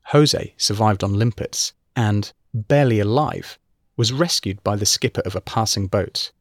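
A man reading a true-crime feature article in measured scripted narration. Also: fairly low pitch, dry recording, studio quality.

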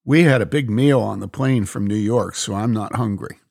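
A man voicing a calm, understated young traveler. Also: on the low side, moderate pace, gravelly. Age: young adult.

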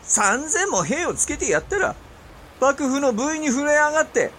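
Shrill, haughty medieval Japanese lord. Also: male voice, sing-song, sharp tone, a little hiss or hum, exaggerated voice. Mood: imperious, displeased. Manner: imperious, theatrical, drawn-out emphatic delivery. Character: haughty, impatient, suspicious male medieval Japanese ruler. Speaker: voice actor. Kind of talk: period radio drama acting in archaic speech.

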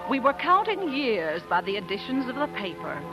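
A spirited young pioneer wife with a high voice that swoops expressively. She is genteel and dramatic, devoted but ready to scold, in vintage radio drama style with music behind her. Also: very animated, normal speed, rough recording. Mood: wistful, reminiscing. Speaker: narrator and lead actress. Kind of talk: old-time radio drama acting.

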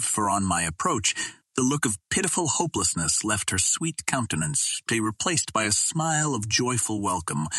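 A man narrating a first-person adventure story as a scripted audiobook, in a formal, measured literary manner. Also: fairly low pitch, sharp tone, slightly rough, dry recording.

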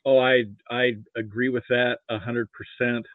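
An American man from Nebraska talking casually about sports as a podcast guest, halting and opinionated. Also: medium pitch, little pitch movement, dry recording. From Nebraska, United States. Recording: thin phone-like call audio.

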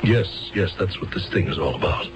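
Low-voiced, flustered, stammering lawyer. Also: male voice, animated, fairly quick. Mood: polite, awkward. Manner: hesitant, stammering, throat-clearing, fussy. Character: flustered, earnest male court-appointed defense lawyer. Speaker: defense lawyer. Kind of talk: 1970s radio drama acting. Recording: muffled old radio, music behind.

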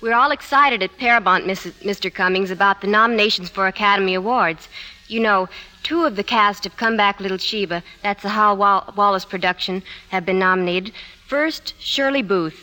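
Bright-voiced teenage starlet, a young woman. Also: medium pitch, moderate pace. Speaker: film starlet, promotional guest. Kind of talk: scripted 1950s radio promotional chat. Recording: reverberant 1950s radio stage broadcast.

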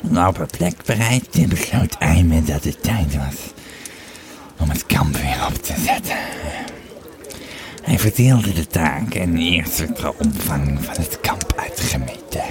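An actor playing an old, tipsy Roman legionary who retells his war days in a rambling, halting, dramatic way for an audio play. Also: very deep voice, animated, music in the background, full character voice. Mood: grim reminiscing. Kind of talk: in-character storytelling in an audio play.

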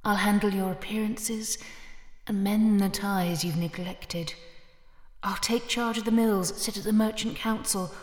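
A woman's voice: medium pitch, fairly even intonation, a little slow, natural voice. A woman playing a cold, calculating noblewoman in a fantasy audio drama, haughty and controlled. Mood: calm, calculating. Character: cold, calculating noblewoman, scheming younger-adult sister. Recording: echoey hall with long reverb.

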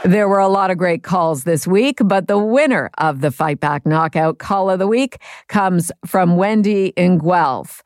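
A woman host presenting a radio talk show, introducing guests and callers in a measured, announcing manner. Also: lowish voice, a little mellow, no room sound, clean studio sound.

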